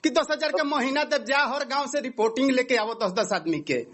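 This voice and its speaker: A man's voice: extremely high pitch, fairly quick, a bit bright, no echo. A man with a Bhojpuri accent from Bihar arguing heatedly on a recorded phone call, combative and insistent, often tacking on tag questions. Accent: Bhojpuri-belt Bihar. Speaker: caller in a dispute.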